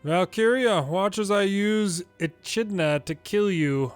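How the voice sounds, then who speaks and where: Higher-voiced man reading game dialogue over music. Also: slow pace, smooth, dry recording. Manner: acts out each character's lines in turn. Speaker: video game longplayer reading the story aloud. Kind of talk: dramatic read-aloud of game dialogue.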